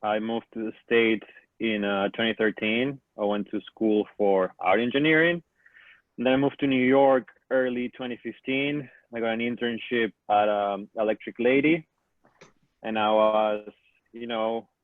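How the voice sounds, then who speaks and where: A man from Peru, a studio assistant, introducing himself on a call in a steady, matter-of-fact way. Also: on the low side, no room sound. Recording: tinny phone-like line.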